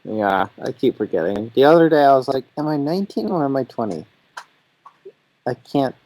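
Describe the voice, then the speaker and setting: Young man with lively, expressive pitch. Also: normal speed, no room sound, rough recording. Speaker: podcast host. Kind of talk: casual chat.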